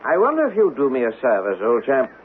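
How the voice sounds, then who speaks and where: A man's voice: mid-range voice, wildly swooping pitch, fast talker. A man playing a wizened, domineering army captain with an oily, insinuating, theatrical manner, in old-time radio melodrama style. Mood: smug. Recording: tinny band-limited old radio broadcast, hiss.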